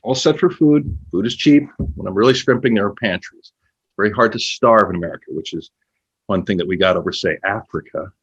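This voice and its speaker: Husky, desperate homeless man, acted by a man. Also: lowish voice, brisk, muffled recording. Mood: insistent, weary. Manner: pointed, needling, pleading. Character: desperate homeless man, bitter, wry and insistently pressing. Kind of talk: naturalistic stage play acting.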